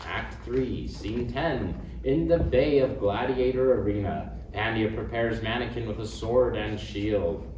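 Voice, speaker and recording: A man's voice: lowish voice, unhurried, hardly any pauses. A man reading out stage directions as narrator at a script table read. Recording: roomy, distant mic, clear noise.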